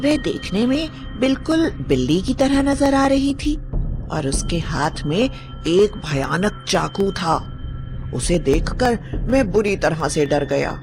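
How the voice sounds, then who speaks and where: A woman's voice: lowish voice, big pitch swings, takes it slowly, over music, heavily acted. A woman storyteller reading the part of a frightened village farmer, recounting anxiously. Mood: troubled.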